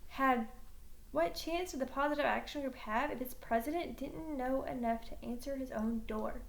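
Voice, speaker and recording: A woman reading a children's novel aloud in an animated, emphatic way, like a teacher narrating to a class. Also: high-pitched, normal speed, gravelly. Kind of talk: expressive read-aloud of a children's novel, first-person narration.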